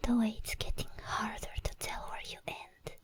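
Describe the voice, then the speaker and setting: A woman voicing a possessive, seductive sleep paralysis demon in a breathy whispered ASMR roleplay, slow and intimate. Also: no room sound, close to an everyday voice. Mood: possessive, teasing. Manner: slow, intimate, lingering delivery.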